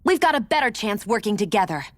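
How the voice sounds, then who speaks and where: A woman's voice: mid-range voice, sing-song, quick pace, slight background noise, natural voice. An actress playing a proud, defiant young woman fugitive in a video game, haughty and curt. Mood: sharp, defiant.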